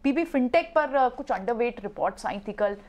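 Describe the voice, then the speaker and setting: A woman's voice: fairly high pitch, animated, moderate pace, darkish tone. Hesitant woman market reporter. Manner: hesitant, many fillers. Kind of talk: live market commentary.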